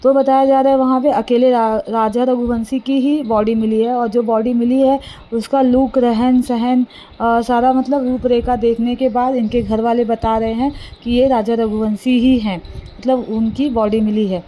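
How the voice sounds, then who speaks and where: High-voiced woman, mellow, halting explainer. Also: little pitch movement, low-quality recording. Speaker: vlogger recounting a news case. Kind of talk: informal, unscripted news recounting.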